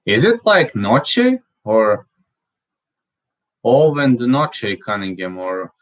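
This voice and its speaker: A man, a native Serbian speaker, chatting casually and reading out viewer comments, halting with fillers and restarts and spelling out words he can't pronounce. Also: mid-range voice, sing-song, fairly bright tone. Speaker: channel host.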